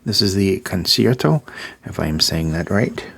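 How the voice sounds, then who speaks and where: Gravelly low-voiced man with Massachusetts accent. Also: sing-song, normal speed, a bit bright. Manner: filler-heavy, repeats praise words. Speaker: audio gear reviewer. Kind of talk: casual product review.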